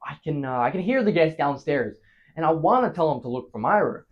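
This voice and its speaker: A man acts out a rattled husband telling his tale in a comic stage play. His voice sits fairly high and swings widely in pitch. He stammers, stops for dramatic pauses and lurches between big emotions. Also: animated, muffled recording. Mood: frantic, flustered. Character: flustered married man frantically recounting a disastrous anniversary night. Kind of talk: theatrical comic stage monologue.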